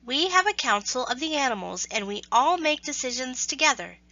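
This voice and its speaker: A little storybook mouse, voiced by a woman, polite yet firm and outspoken. The voice is raised in pitch and bright, recorded dry. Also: sing-song, a little fast, dry recording, highs cut off, heavily acted. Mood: firm protest. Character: small, plucky, outspoken mouse in a folktale.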